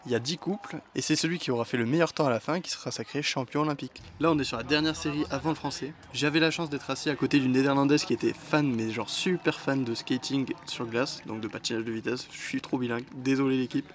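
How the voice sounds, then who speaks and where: A man's voice: mid-range voice, fast, hardly any pauses, slight background noise. A young man narrating a voice-over in a casual, explanatory way with chatty asides. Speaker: vlogger narrating. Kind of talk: voice-over commentary.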